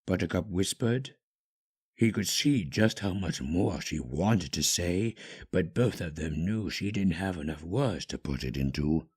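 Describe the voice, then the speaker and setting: Deep, husky, expressive male storyteller. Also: measured pace. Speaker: fan-fiction narrator. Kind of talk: dramatic scripted story narration.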